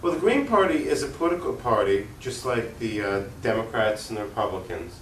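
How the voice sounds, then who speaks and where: A man from Long Island, New York, giving conversational, explanatory interview answers with halting pauses. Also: mid-range voice, lively intonation. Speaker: interview guest, political activist. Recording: roomy, distant mic, faint noise.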